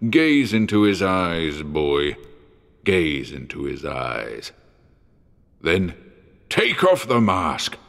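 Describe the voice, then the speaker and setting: Gravelly, slow, menacing radio phantom. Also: male voice, low voice, huge pitch swings, fairly bright tone, full character voice. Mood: menacing, commanding. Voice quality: gravelly, creaking. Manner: slow, drawn-out, menacing, taunting. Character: menacing male supernatural voice stalking through an old radio. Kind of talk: horror audio drama acting. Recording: cavernous long reverb.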